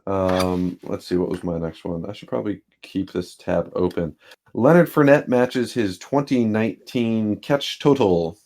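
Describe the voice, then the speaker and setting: A man's voice: fairly low pitch, measured pace, darkish tone. A man co-hosting a casual sports podcast, laid-back with dry humor and a habit of chuckling as he talks. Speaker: podcast co-host.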